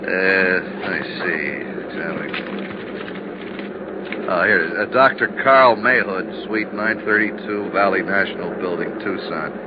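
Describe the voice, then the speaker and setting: Breezy wisecracking sidekick, deep voice, muffled vintage radio sound with clear noise behind. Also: male voice, a little slow. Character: male professional colleague, breezy, wisecracking. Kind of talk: 1950s radio drama acting. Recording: muffled 1950s radio recording, vehicle noise.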